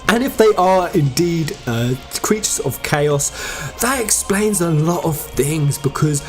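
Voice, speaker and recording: A man's voice: a little high, a little mellow. A man giving enthusiastic, conversational game commentary, speculating with emphatic delivery. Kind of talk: enthusiastic fan theory commentary.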